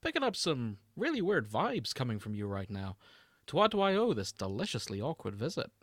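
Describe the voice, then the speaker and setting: A man voicing a creepy, smug male energy vampire in a slyly deliberate, formal way, for a comic fan-fiction reading. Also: medium pitch, huge pitch swings, a bit bright, dry recording, clean studio sound. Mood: smug, insinuating.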